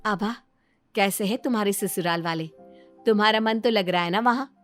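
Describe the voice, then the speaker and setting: A woman voicing a caring, reassuring young sister-in-law in a story, speaking gently. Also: medium pitch, normal speed, soft background music, close to an everyday voice. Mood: concerned.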